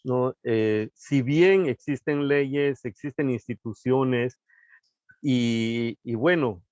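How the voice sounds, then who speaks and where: A man speaking in a measured, formal way as a panelist in an online talk. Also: medium pitch, darkish tone, no echo, muffled recording. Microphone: video call.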